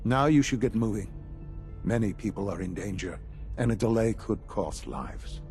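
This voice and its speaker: An actor playing a formal, authoritative male leader giving measured orders in a video game. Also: on the low side, animated, a little mellow, faint music behind, lightly acted. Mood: grave, commanding. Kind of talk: video game dialogue acting.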